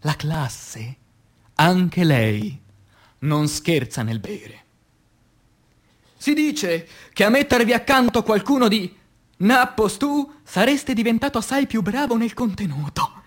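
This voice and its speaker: A man reciting poetry as a stage actor, in a dramatic, declamatory and solemn manner with long pauses. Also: sits high, lively intonation. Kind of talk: dramatic theatrical poetry recitation for radio theatre.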